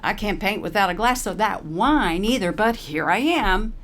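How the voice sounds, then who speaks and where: A woman voicing a crotchety, sharp-tongued old woman from a retirement home story, brash, demanding and wisecracking. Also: medium pitch, animated, normal speed, slight background noise, voice pushed up. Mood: pushy, sarcastic.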